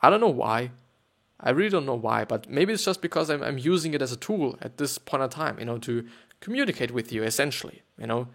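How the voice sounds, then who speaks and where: Gravelly Austrian man rambling on a podcast. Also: mid-range voice, lively intonation, fairly quick. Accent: Austrian German. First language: German. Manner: hesitant, repetitive, many fillers. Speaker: podcast host. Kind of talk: rambling casual monologue and reading aloud.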